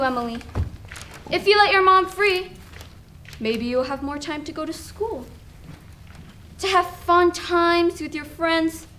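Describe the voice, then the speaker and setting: Casting: young actress as a hidden other self, high voice, unhurried, sly and persuasive; student stage play. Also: female voice, a bit bright, a little hiss or hum.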